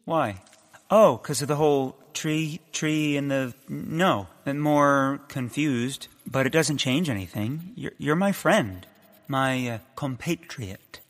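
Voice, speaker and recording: An actor playing a neurotic, eccentric, earnest scientist in a comic audio drama. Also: male voice, medium pitch, slow, long reverb tail, natural voice. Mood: awkward, tender.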